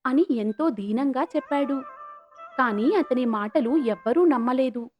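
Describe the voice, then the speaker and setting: A woman's voice: sits high, unhurried, lo-fi sound. A woman reading scripted moral-story narration in a storytelling role, over music. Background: background music.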